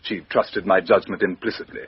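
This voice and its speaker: An actor playing a stuffy, self-important English politician who speaks formally and hesitantly, guarded and easily affronted, in a radio drama. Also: male voice, sits low, fairly even intonation, brisk, breathy edge. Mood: pompous, disapproving. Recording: roomy, dull lo-fi radio recording.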